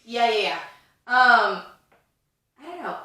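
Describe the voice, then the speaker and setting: A woman's voice: a little high, sing-song, slow pace, pushed and bright, heavy reverb, far from the mic. A woman in her twenties co-hosting a podcast, chatting in a rambling way with lots of fillers. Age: 20s. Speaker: podcast co-host. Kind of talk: casual chat.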